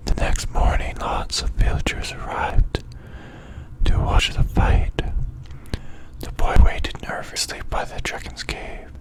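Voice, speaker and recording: A man reading a children's bedtime story in ASMR style, in a soft, breathy, unvoiced whisper with a hushed delivery. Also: rough recording. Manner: slow, hushed, phrase-by-phrase delivery.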